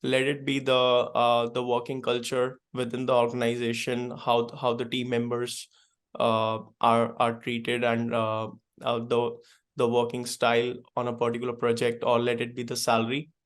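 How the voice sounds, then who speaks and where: A man's voice: medium pitch, monotone, normal speed, clear, resonant tone. A man answering interview questions hesitantly, with many filler sounds. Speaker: guest.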